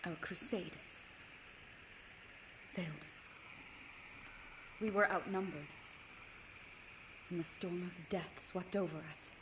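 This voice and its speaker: A woman's voice: fairly low pitch, steady tone, slow pace, long pauses, background noise. A woman playing a proud, righteous ancient knight in a video game, speaking solemnly in archaic, formal phrases. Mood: haunted, somber. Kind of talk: scripted video game dialogue.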